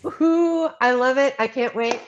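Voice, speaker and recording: A woman's voice: sits high, normal speed, lo-fi sound. Upbeat woman hosting an interview. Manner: upbeat, enthusiastic. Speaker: interviewer, host.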